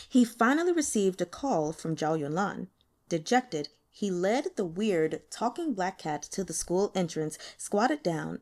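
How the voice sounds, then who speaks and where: A woman narrating a novel as an audiobook reader, in an expressive scripted style. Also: mid-range voice.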